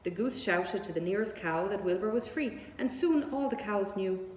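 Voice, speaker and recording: Lady narrating a kids book, mid pitched, really echoey with long reverb. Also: animated, normal speed, darkish tone. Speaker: story reader. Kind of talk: children's read-aloud narration.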